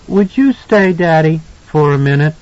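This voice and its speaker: A man voicing a frightened, confused, earnest little boy in an audiobook, with a halting, pleading delivery. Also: a little high, expressive, a little mellow, dry recording, voice pushed up, natural voice. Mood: shy. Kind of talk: audiobook character voice. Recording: slightly dull, lo-fi audio.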